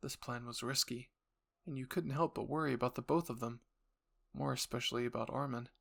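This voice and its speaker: Gravelly male story narrator. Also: mid-range voice, fairly even intonation, a little fast. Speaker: narrator and voice actor. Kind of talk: scripted second-person story narration.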